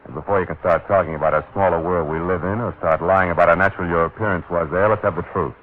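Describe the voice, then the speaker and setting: An actor playing a wry, hardboiled private detective in an old-time radio drama, brisk, sardonic and wisecracking. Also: male voice, low-pitched, fairly even intonation. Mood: tough wisecracking. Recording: dull, noisy vintage radio recording.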